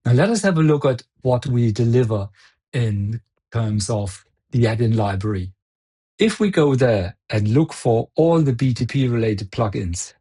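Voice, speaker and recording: A man with a slightly husky voice presenting a software product demo, explaining it step by step as he goes. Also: medium pitch.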